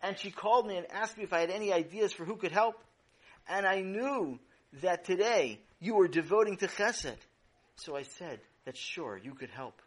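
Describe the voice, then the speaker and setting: A man's voice: high-pitched, expressive, moderate pace, husky. A man doing a patient, firm mother.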